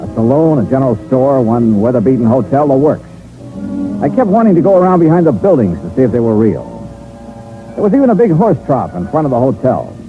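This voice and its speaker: An actor playing a wry, unflappable insurance investigator in 1950s radio detective style, clipped and hardboiled. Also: male voice, mid-range voice, very animated, a little fast, close to an everyday voice. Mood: dry narration. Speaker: lead actor and narrator. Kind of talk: 1950s radio detective acting, first-person narration. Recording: tinny vintage radio recording, music behind.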